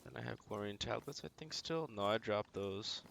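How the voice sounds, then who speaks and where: Low-voiced man doing casual game commentary. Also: normal speed, gravelly, background noise. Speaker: game streamer. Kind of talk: casual gameplay commentary, thinking aloud.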